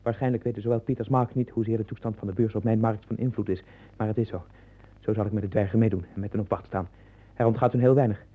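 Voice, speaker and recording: An actor in a 1960s radio play voicing a troubled man sinking into obsessive visions, erratic and husky. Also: lowish voice, steady tone, quick pace. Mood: obsessive, secretive. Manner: erratic, swinging from hushed brooding to loud agitation. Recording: muffled narrowband old radio recording.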